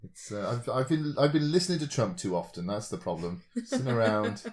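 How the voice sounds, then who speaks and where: A man's voice: lowish voice, sing-song, a little fast, darkish tone, slight background noise. A man co-hosting a podcast, joking and bantering in casual conversation. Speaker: podcast co-host.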